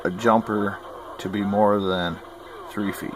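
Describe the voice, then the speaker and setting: A man with a low voice talks through practical tips in a folksy, opinionated way. He keeps it informal and plain-spoken, like a hobbyist teaching what he knows. There is clear background noise on the recording. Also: level tone, slow pace. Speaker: hobbyist giving CB radio advice. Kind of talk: informal instructional talk.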